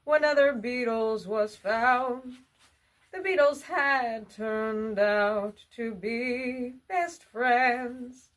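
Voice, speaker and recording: A woman telling a children's bedtime story in a drawn-out, singsong delivery. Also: a little high.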